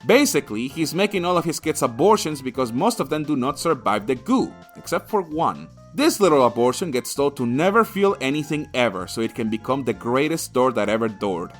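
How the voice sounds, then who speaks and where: A man's voice: mid-range voice, sing-song, brisk, few pauses, soft background music. A man narrating a scripted comedic video game review in a crude, sarcastic, sweary manner.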